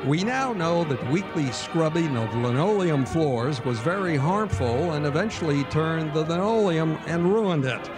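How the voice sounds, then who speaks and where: A man playing a 1940s radio announcer, reading commercials in a polished, upbeat pitchman delivery on a live stage. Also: expressive, measured pace, few pauses, over music, rough recording. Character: cheerful male radio announcer, smooth salesman. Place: live stage performance in a ballroom.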